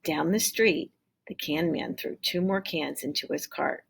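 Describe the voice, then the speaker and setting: A woman's voice: deep voice, a little slow, creaky, husky. A woman teacher reading a storybook aloud expressively, with explanatory asides for her pupils. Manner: expressive, acts out dialogue.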